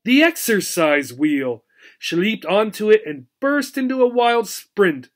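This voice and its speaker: A man reading a children's book aloud as a teacher, in an expressive storybook narration style. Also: sits high, a little slow.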